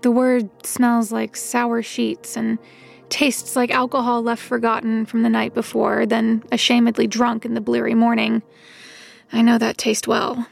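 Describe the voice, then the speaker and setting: Tired wry woman narrating her story, crackly fry, measured, soft music behind, fiction podcast. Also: on the high side, completely flat delivery, exaggerated voice. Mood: weary, reflective. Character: world-weary, recovering-alcoholic woman narrator, reluctant detective's helper. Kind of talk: first-person scripted audio drama narration.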